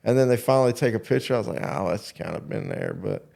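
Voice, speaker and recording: A man in his late thirties with a creaky, gravelly edge to his mid-pitched voice. He talks like a laid-back show host in casual conversation, folksy and loose with slang. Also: wildly swooping pitch, quick pace, a little mellow. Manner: folksy, slangy, says "dude" and "bro". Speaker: show host interviewing a guest. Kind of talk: casual chat.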